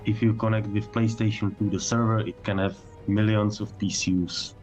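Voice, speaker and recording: Lower-voiced man with halting non-native English, music behind. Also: flat delivery, darkish tone. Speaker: game developer guest answering technical questions. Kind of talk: casual livestream Q&A chat.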